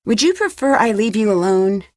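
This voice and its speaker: A woman's voice: medium pitch, sing-song, normal speed, darkish tone, dry recording, clean studio sound, close to an everyday voice. A woman playing a curious, composed young female detective in an adventure game, asking direct and unflinching questions. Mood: probing, composed.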